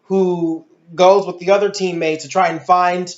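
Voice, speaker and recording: A man's voice: high voice, flat delivery, normal speed. An adult man giving a casual, opinionated movie review in a blunt, exasperated, slangy way. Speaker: video reviewer and host. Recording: echoey room, dull lo-fi audio.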